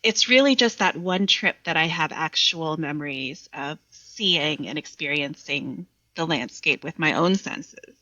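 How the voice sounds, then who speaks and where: A woman's voice: low voice, expressive, unhurried, sharp tone, no room sound, lo-fi sound. A woman from Virginia speaking as a podcast guest in thoughtful, casual conversation. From Virginia, United States. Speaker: podcast guest, poet and scholar.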